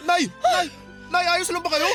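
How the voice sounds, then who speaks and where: A man's voice: very high voice, very animated, normal speed, music in the background. A man playing a hesitant, pleading Filipino husband, a sheepish mama's boy, in a radio drama. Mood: anxious, pleading.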